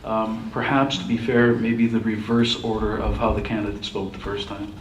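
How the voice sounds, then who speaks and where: A man's voice: fairly low pitch, flat delivery, moderate pace. Man moderating a forum in an echoey hall. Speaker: debate moderator. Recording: echoey hall, distant mic, noisy.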